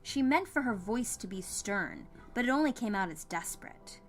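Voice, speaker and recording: A woman reading scripted fiction as an expressive audiobook narrator. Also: mid-range voice, moderate pace. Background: music.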